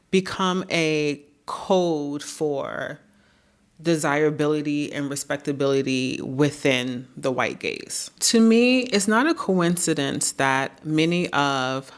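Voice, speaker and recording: A woman with a naturally deep voice talking to camera in an earnest, measured way, often checking in with "right?". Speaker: YouTube creator. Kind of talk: reflective talking-head commentary.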